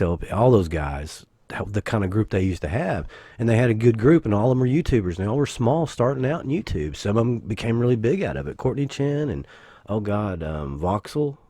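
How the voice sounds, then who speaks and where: A man, husky from the flu, rambling casually as a gaming channel host with self-corrections and joking asides. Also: fairly low pitch, warm tone, no room sound, clean studio sound. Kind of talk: casual anniversary vlog talk.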